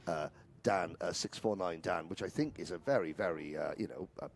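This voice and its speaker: A man's voice: sits low, normal speed, gravelly. A man co-commentating a live karting stream, rambling off topic and hesitating often. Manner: rambling, hesitant, lots of fillers. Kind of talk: live motorsport commentary and banter.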